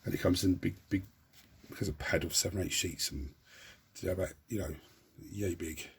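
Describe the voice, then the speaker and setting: This is a man talking through a hobby build at his desk. His voice is low and badly hoarse, rough and croaky from a lingering cold. He chats loosely, restarting and repeating words as he goes. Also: normal speed. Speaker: hobby channel host. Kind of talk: model-building tutorial talk.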